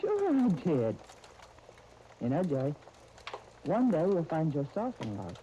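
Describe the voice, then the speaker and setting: An actress playing a gentle, earnest guardian of young twins in a 1930s radio soap opera, speaking tenderly. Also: female voice, sits low, wildly swooping pitch, a little slow, exaggerated voice. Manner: tender, earnest, uses endearments. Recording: muffled, noisy 1939 radio disc recording.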